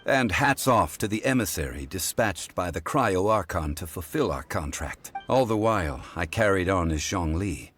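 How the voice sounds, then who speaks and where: A man voicing an ancient, dignified male god in a video game, speaking in a measured, formal and unhurried way. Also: sits low, expressive, a bit bright. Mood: composed. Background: music.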